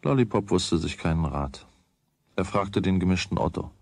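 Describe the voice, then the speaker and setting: A man's voice: low-pitched, animated, moderate pace, vocal fry, a little hiss or hum. A man narrating a children's radio play in a scripted storytelling style.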